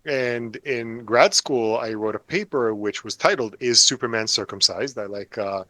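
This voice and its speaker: A man in his forties, chatting as an interview guest with a dry, self-mocking wit. His voice sits at an ordinary middle pitch and sounds close and dry, with no room around it. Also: normal speed, bright, forceful tone, dry recording. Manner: wry, self-deprecating, joking. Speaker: author guest. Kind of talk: casual interview chat.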